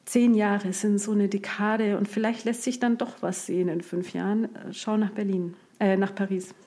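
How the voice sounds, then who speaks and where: A woman's voice: medium pitch, little pitch movement, moderate pace, warm tone. A woman from Bavaria interviewing a guest in a casual chat, playful and teasing, laughing easily.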